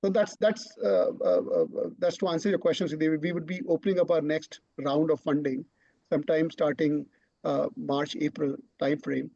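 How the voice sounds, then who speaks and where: A man's voice: fairly high pitch, steady tone, normal speed, vocal fry, dark tone, no echo. A man, a startup chief executive, explaining his company's plans in an online question session, often repeating words as he thinks. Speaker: startup CEO presenting. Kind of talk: online business Q&A answer. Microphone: video call. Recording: dull lo-fi online call audio.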